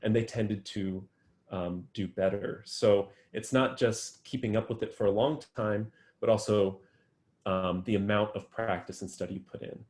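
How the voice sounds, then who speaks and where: A man's voice: on the low side, fairly even intonation, normal speed, a little mellow. A man answering interview questions about his research, thoughtful and full of ums and restarts. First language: English. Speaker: guest researcher.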